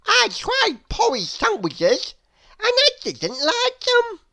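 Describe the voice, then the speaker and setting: A silly little storybook man, acted out by a woman, pitched high and swooping wildly up and down. He sounds cheerful and childlike, with every phrase exaggerated and drawn out slowly. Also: wildly swooping pitch, pushed and bright, heavily acted. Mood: earnest, silly.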